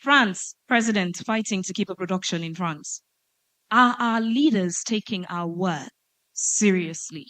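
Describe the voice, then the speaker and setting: A woman moderating a conference panel, posing questions to the panelists in a lively way. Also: medium pitch, unhurried, dry recording, rough recording. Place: conference stage.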